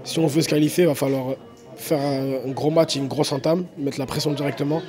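A man in a quick post-match interview, repeating phrases as he talks. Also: medium pitch, fairly even intonation, a little mellow, soft background music. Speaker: footballer.

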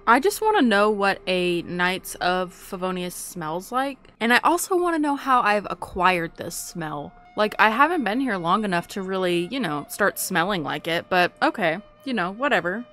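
A woman's voice: medium pitch, lively intonation, moderate pace, hardly any pauses. A young woman giving casual, playful game playthrough commentary in a gushing, self-interrupting way. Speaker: gaming video creator. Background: soft game music.

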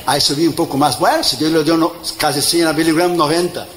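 A man in his fifties, an evangelist preaching a sermon, tired, emphatic, mixing jokes with loud rising exhortation. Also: a little high, fairly even intonation, fast talker. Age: 50s. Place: church in front of a congregation. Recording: echoey church with crowd and noise.

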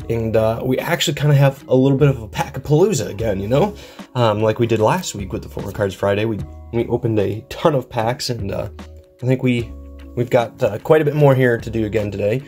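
A man's voice: fairly low pitch, fairly quick, a little mellow, soft background music. Congested young man hosting a card vlog. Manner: rambling and upbeat, with frequent ums and uhs. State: congested with a head cold. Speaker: card collecting channel host. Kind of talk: casual pack-opening vlog. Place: indoor room at a desk.

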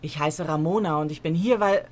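An actress plays a hurt, freshly dumped young woman in a radio play. Her voice is very low for a woman, and she speaks in a hesitant, confiding way. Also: fairly quick, natural voice. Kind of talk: audio drama acting.

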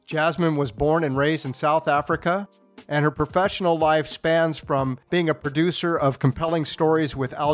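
An older man hosts an interview podcast, speaking in a measured, enthusiastic way through a headset on a video call. His voice sits a little high for a man. Faint music runs underneath. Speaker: podcast host. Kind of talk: interview podcast intro and questions.

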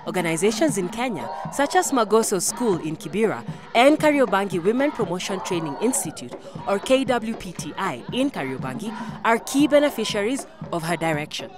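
A woman's voice: medium pitch, big pitch swings, a little fast, few pauses, music in the background. A woman reading a scripted voice-over introduction.

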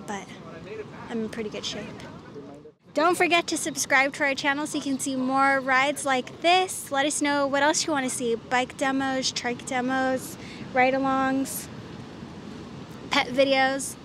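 High-voiced woman vlogging outdoors over street noise. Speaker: company employee and ride host. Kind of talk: casual vlog talk to camera. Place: outdoors beside the bikes.

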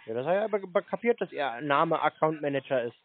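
A man's voice: on the high side, lively intonation, fast talker. Tinny, sarcastic man on a phone call. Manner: sarcastic, scolding, blunt. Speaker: scam baiter. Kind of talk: mocking phone conversation. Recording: tinny phone-like sound, clear noise.

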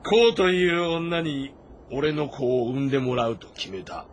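A man playing a proud, self-assured warrior general with blunt, rough speech in a dramatic audio-novel game. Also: mid-range voice, animated, measured pace, a little hiss or hum. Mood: proud, resolute. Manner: blunt, confident, speaking in rough masculine speech. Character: bold, proud warrior general, gruff and sure of himself.

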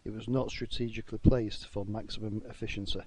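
A man's voice: on the low side, little pitch movement, quick pace, darkish tone, slightly rough. A man who works as an amateur radio operator, narrating and making contacts on air. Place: outdoors at a farm field station.

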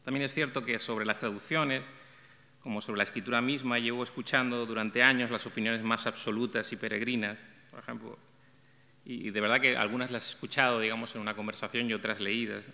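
A middle-aged man reading a prepared essay aloud at an arts center event, measured and reflective, with small restarts. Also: mid-range voice, steady tone, creaky, bright, forceful tone. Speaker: event coordinator presenting a talk on translation. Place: arts center stage, seated at a table. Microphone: table microphone. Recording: long hall reverb.

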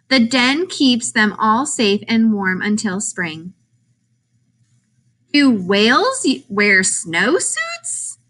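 Animated, bright-voiced woman reading to children. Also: a little high. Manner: animated, emphatic questions and answers. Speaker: teacher reading a children's picture book aloud. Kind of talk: expressive children's read-aloud narration.

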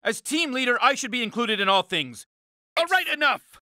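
An uptight, pompous male alien who leads a spaceship crew in a cartoon game. His voice is high and intensely sharp, swinging widely in pitch. Every phrase comes out emphatic and exasperated, full of grumpy self-importance. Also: sing-song, moderate pace, dry recording, studio quality, heavily acted. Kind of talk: animated cartoon game voice acting.

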